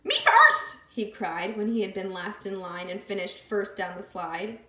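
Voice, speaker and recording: A woman reading a picture book aloud with expressive, lively storytelling. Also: medium pitch, moderate pace. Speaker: storybook reader. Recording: echoey room.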